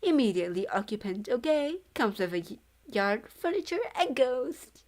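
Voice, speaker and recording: A woman's voice: fairly high pitch, very animated, normal speed, darkish tone, pitched above the performer's own voice. A woman voicing a friendly, chatty ghost with folksy, loose-grammared speech in a storybook read-along. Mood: cheerful, teasing.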